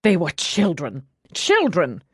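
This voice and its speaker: A woman's voice: fairly low pitch, huge pitch swings, slow, husky, dry recording, studio quality, exaggerated voice. A woman voicing a stiff, proud, cold male servant in an audiobook, formal, clipped and bitterly protective. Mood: outraged. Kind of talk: audiobook character dialogue.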